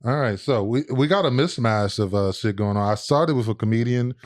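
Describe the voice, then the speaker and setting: A man's voice: medium pitch, brisk. A man co-hosting a podcast, tossing out topics in casual, slangy, profane banter. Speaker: podcast co-host.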